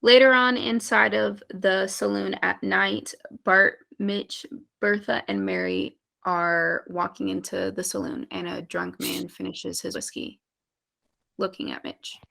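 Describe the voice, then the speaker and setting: Creaky-voiced woman reading stage directions. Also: mid-range voice, lively intonation, a little slow, pushed and bright. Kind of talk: scripted narration at a table read.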